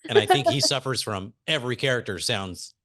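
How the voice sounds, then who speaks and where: A man's voice: mid-range voice, expressive, brisk, pushed and bright, no echo, clean studio sound. A man hosting a podcast interview, easygoing and laughing often as he steers the conversation with questions. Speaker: podcast host.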